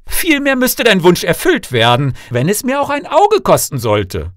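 A man's voice: high voice, wildly swooping pitch, a little slow, no echo, clean studio sound, voice pushed up, heavily acted. A man voicing a doting king and father in a fairy tale, emphatic and declamatory. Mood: emphatic, reassuring.

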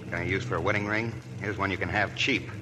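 An actor playing a bitter young army lieutenant in a 1940s radio drama, curt and clipped. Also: male voice, deep voice, steady tone, quick pace, over music, close to an everyday voice. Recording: noisy old radio broadcast.

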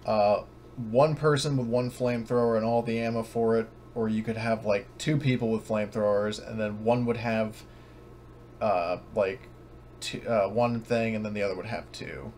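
Male game master, lowish voice, casual halting delivery, slightly noisy voice chat. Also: steady tone, darkish tone. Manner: hesitant, casual, thinking aloud. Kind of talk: improvised narration of a tabletop game. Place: online voice call.

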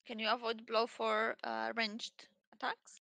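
A woman's voice: a little high, completely flat delivery, unhurried, creaky, bright, forceful tone, dry recording. A young adult woman playing a tabletop game, talking casually through her moves and rolls. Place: indoor room, online video call.

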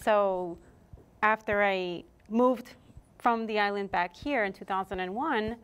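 A woman's voice: mid-range voice, measured pace, clean tone. Chatty woman singer telling stories. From Puerto Rico. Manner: chatty, animated storytelling with laughs. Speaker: guest singer. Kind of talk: casual interview chat. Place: TV studio set, indoors. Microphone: lapel mic.

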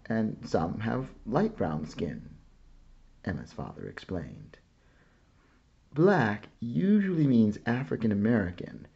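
Man reading aloud, dark husky voice, lively rise and fall, dull lo-fi sound. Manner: slow, gentle reading with lifted pitch for child characters' lines. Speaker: picture book reader. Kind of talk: children's book read-aloud.

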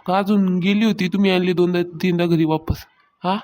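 A gossiping, meddling village woman from a comedy cartoon, acted by a woman. She has a rural Maharashtrian accent and a fairly even, middle-pitched voice. She keeps needling as she insists on telling her tales. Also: fairly quick, close to an everyday voice. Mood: gossiping, insistent. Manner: taunting, insistent storytelling. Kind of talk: Marathi comedy cartoon dialogue.

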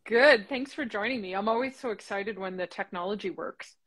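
A woman's voice: medium pitch, a little fast, fairly bright tone, slight background noise. Encouraging woman hosting a video chat. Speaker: interview host, association president. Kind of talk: casual interview chat. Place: home room. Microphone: laptop webcam on video call.

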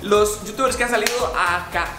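A man's voice: sits high, moderate pace. A young man hosting a casual travel vlog, joking and exclaiming as he goes. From Central America. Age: young adult. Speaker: vlogger host. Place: airports, hotel rooms and a packed auditorium. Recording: loud music and a crowd behind.

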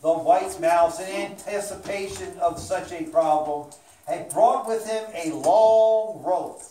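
Slow-paced woman narrating a children's story. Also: fairly low pitch, dark tone. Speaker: puppet show narrator. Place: indoor children's room. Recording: echoey room, distant mic, faint noise.

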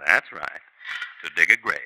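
A man's voice: sits low, expressive, moderate pace, very noisy. A man narrating a 1950s radio crime drama in a wry, theatrical storytelling manner. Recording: old radio broadcast with music.

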